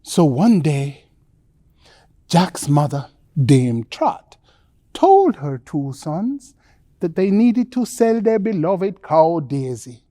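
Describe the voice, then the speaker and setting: Slow, very animated woman storyteller. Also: deep voice, no room sound, clean studio sound. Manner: theatrical, deliberate, with dramatic pauses. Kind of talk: pantomime bedtime storytelling for children.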